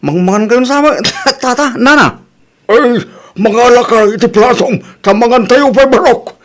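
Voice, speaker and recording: A man with an Ilocano accent playing a loud, chummy freeloading neighbour in a comedy radio drama. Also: very high-pitched, moderate pace. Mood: excited.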